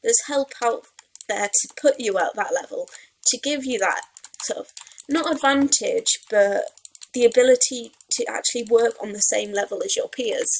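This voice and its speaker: A woman's voice: a little high, normal speed, vocal fry, a little hiss or hum, rough recording. A young woman from Wales with a Welsh accent touched by Yorkshire, rambling and self-deprecating as she chats over her gameplay. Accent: Welsh, with some Yorkshire creeping in. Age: young adult. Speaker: let's play gamer. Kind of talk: casual rambling gameplay commentary.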